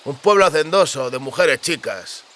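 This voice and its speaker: A man's voice: fairly high pitch, lively intonation, normal speed, a bit bright. A man voicing a sly, gloomy ruffian with an insinuating, lugubrious manner in an audiobook. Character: sly, gloomy male ruffian eager to rob.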